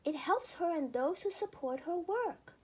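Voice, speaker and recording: A woman narrating a children's book aloud in an expressive, deliberate storytelling manner with emphatic phrasing. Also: very high-pitched.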